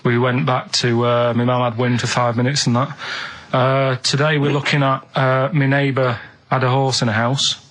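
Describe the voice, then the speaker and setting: A man's voice: mid-range voice, level tone, moderate pace, few pauses, fairly bright tone. A man co-hosting a radio show, rambling casually and defensively through his own quiz feature. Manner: defensive, colloquial. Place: radio studio.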